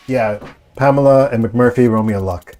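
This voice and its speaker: A man acting as game master, improvising narration of a tabletop horror game in halting, repetitive phrasing. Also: medium pitch, darkish tone, faint music behind.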